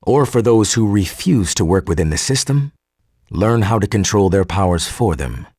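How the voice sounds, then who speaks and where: An actor playing a formal, articulate male geneticist recording an audio log for a game, measured and husky. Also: on the low side, animated, darkish tone. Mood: grave, troubled. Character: earnest male geneticist turning cold and militant.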